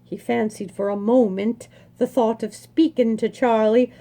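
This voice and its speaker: A woman storyteller with a high, mellow voice reads a children's book aloud. She takes her time, savoring the words and pausing for dramatic effect. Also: steady tone.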